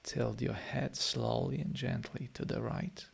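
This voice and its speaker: A man with a Polish accent and a heavily gravelly, creaky voice, a meditation teacher talking straight to his listeners. He speaks in short phrases at a middle pitch, Polish being his first language. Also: fairly even intonation, unhurried. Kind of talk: guided meditation and live-stream chat.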